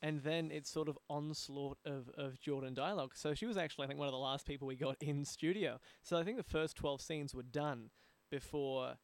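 A man hosting a conversational interview, speaking in a halting way and repeating words as he goes. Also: on the high side, clear, resonant tone, no room sound. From Australia. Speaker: podcast host, audio drama producer.

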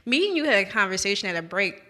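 A woman's voice: mid-range voice, very animated, fast talker, bright, forceful tone. A young woman hosting a podcast, chatting casually and laughing, talking to listeners directly. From American South. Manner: chatty, laughing, addresses listeners as you guys. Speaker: podcast host.

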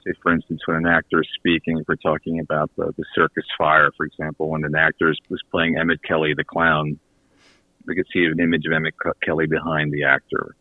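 A man with a deep voice speaks as a guest in a relaxed radio conversation. The recording is very dry, with no room around him. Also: quick pace, no echo, phone-quality sound. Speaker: podcast creator and guest. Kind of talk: casual radio interview.

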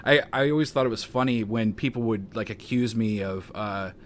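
A man speaking calmly and hesitantly as a guest in a casual conversation, with frequent fillers. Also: lowish voice, normal speed. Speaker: guest, online personality.

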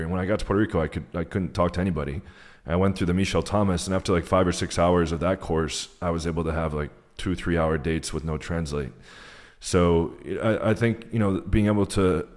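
Very deep-voiced American man, rambling casually. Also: a little mellow. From United States. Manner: rambling, peppered with "like". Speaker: podcast guest, dating coach. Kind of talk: casual conversational interview answers.

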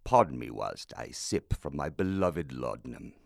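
A gloomy, self-important gothic horror storyteller in a radio play. The voice sits very deep and moves widely, with grandiloquent, droll melodrama in the phrasing. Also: male voice, sing-song, normal speed, creaky, a little hiss or hum, heavily acted. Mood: pompous, droll. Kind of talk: old-time radio play narration.

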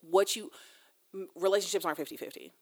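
A woman's voice: on the low side, fast. A woman hosting a casual conversation, speaking emphatically and building points through repeated phrases. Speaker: interview host.

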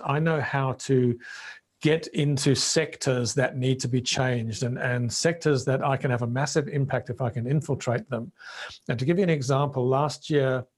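A middle-aged man who grew up in Zambia, first language Bemba, answering interview questions emphatically and often restarting words. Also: medium pitch. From Zambia and Rhodesia. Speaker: interview guest, businessman.

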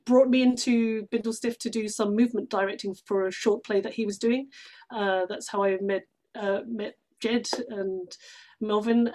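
A woman's voice: medium pitch, darkish tone. A middle-aged woman with a London English accent, telling stories casually as an interview guest. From London, England. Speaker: interview guest, actress and theatre workshop director. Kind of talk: casual conversational storytelling.

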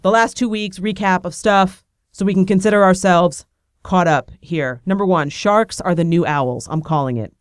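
A woman's voice: medium pitch, clean tone, no echo, studio quality. A woman hosting a podcast, talking in a bubbly, rambling, self-deprecating way while she has a cold. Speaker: podcast host. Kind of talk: casual chatty monologue.

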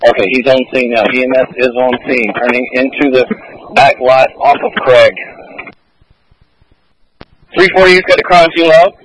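A male police officer speaks over a noisy, clicking two-way radio. His voice is mid-pitched, and he gives short, procedural updates from the scene. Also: steady tone, moderate pace, pauses often.

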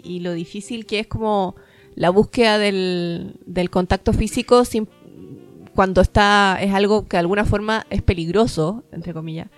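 A woman with a Chilean accent co-hosting a podcast, thoughtful and teasing. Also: medium pitch. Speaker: podcast co-host. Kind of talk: casual chat.

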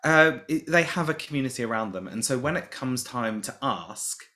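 A man's voice: mid-range voice, animated, moderate pace, bright, forceful tone. A man speaking as an interview guest, making emphatic, opinionated points and often repeating words as he thinks. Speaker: guest, charity manager. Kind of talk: casual interview.

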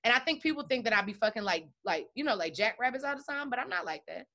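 Blunt, animated woman talking frankly. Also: on the high side, fast talker. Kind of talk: casual podcast chat.

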